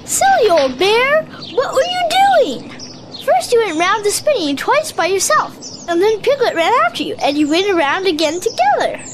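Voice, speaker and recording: A woman voicing a fond, gently teasing young boy in a children's audio drama, kindly and affectionate. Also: very high voice, big pitch swings, moderate pace. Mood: amused chiding. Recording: birdsong and clear background noise.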